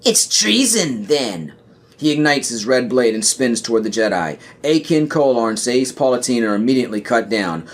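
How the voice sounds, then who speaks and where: A man reading scripted screenplay narration and scene headings for a podcast audio play. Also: mid-range voice.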